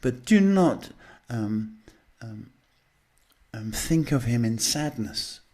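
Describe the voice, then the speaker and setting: A man hosting live psychic readings, talking casually and haltingly as he advises people, often checking in with a short questioning word. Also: mid-range voice, animated, a little mellow. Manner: halting, repeats "okay" as a check. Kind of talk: live advice to callers, casual spiritual talk.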